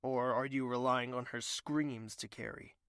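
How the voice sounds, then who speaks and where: A man playing a brooding young prince, bright-voiced. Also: medium pitch, fairly even intonation, a little slow, smooth, no echo, studio quality. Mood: authoritative, probing. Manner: earnest, formal, melodramatic. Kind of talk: dramatic audio drama narration and dialogue.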